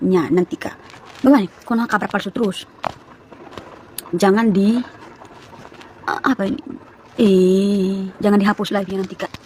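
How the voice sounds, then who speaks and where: A woman of about thirty from East Nusa Tenggara, speaking with its regional Malay accent, chatting to viewers on a phone livestream and telling her story in rapid, chatty bursts full of asides. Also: mid-range voice, fairly even intonation, dark tone, a little hiss or hum, rough recording. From East Nusa Tenggara, Indonesia. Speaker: live streamer. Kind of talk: casual live-stream testimony to viewers.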